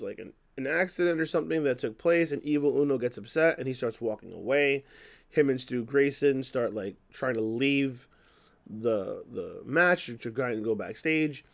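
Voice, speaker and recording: A man with a slightly hoarse voice giving casual, unscripted commentary as a host, opinionated and thinking aloud as he talks. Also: mid-range voice. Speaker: wrestling news podcast host.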